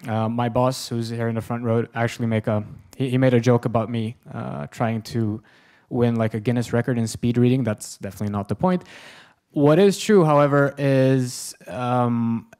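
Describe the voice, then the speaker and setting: A man's voice: mid-range voice, normal speed, darkish tone. A man in his thirties with a Swedish accent, giving a self-deprecating, joking conference lecture from a podium, with lots of ums. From Sweden. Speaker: conference speaker. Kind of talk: lecture presentation. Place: conference hall with audience. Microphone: podium microphone, far camera.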